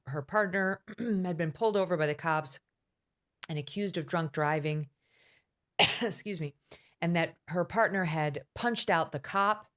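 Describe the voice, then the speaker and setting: Brief: woman host, middle-aged, low pitch, quick storyteller. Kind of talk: podcast interview.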